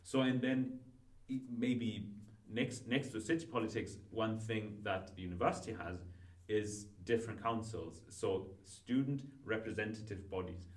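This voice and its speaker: Low-voiced man, roomy distant sound. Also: normal speed. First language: not Dutch. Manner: hesitant, many restarts. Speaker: student guest. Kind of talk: casual podcast chat. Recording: roomy, from far off.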